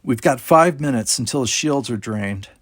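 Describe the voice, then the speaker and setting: A man's voice: mid-range voice, expressive, moderate pace, no echo, lightly acted. Guy doing brave teen captain in an audiobook, confident, close dry sound.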